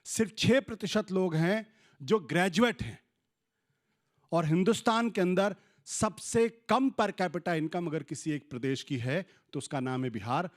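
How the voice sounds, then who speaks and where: An Indian man speaks as a panelist in a political debate, his throat sore. His voice sits a little high, and he argues in a measured, rhetorical way. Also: lively intonation. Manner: measured, rhetorical, citing figures. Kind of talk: argumentative political debate speech.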